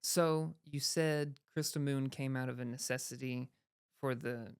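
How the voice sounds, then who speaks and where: A woman hosts a relaxed podcast chat, with a smooth, clean voice at an ordinary pitch. She chatters freely, dropping plenty of likes and yeahs. The mic is close and dry. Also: a little slow, no room sound. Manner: chatty, says yeah and like a lot. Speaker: podcast host. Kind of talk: casual interview chat.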